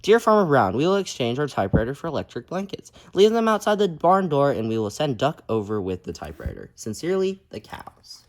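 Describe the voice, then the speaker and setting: Polite but determined farm animals from a picture book, voiced by a man. They state their demands formally, like a letter read out. The pitch moves in a lively way. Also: brisk, few pauses. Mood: polite, firm.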